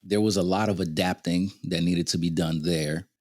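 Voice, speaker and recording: A man in his thirties with a deep, low voice tells his life story as an interview guest, laughing easily as he goes. He grew up in Haiti and Venezuela. The sound is close and dry, with no room around it. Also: steady tone, normal speed, a little mellow, no echo. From Haiti, born in Venezuela. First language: Haitian Creole or Spanish. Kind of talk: casual interview conversation.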